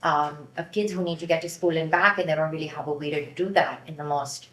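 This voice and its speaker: A woman's voice: deep voice, steady tone, fairly quick, a bit bright, echoey room, low-quality recording. A Pakistani woman in her thirties, a company founder speaking as a podcast guest, candid and direct, often adding "um" and "right?". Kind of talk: conversational podcast interview.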